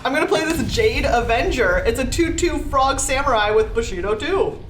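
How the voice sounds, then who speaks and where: Card player, giggly woman, lively pitch; roomy sound from a distant mic. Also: normal speed, a little hiss or hum. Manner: playful, giggly. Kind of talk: casual game-table chat. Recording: echoey room, mic at a distance.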